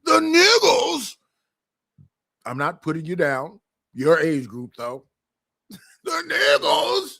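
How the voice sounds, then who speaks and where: A man with a high voice that leaps all over the place. He rants casually and with a lot of profanity, and he laughs between points as a livestream guest. His delivery stays animated and heated throughout. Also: slow pace, creaky, long pauses.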